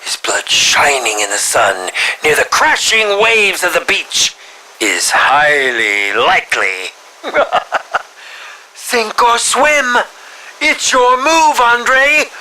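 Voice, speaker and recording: An actor voicing a melodramatic, sinister film director villain in a video game, drawing out his lines and dropping into breathy whispers. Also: high-pitched, very animated, pushed and bright, heavily acted, low-quality recording. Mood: gleeful menace. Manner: melodramatic, drawn-out delivery with breathy whispered drops. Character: theatrical, sinister mad male film director villain, grieving and menacing. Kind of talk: scripted game villain monologue.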